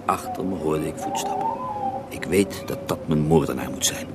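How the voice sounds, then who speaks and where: Ghost of Russian mystic monk, deep voice, animated intonation, wry, unrepentant, theatrical radio play storytelling. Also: male voice, normal speed, background noise, exaggerated voice. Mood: tense recounting. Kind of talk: radio drama acting, intimate first-person recounting.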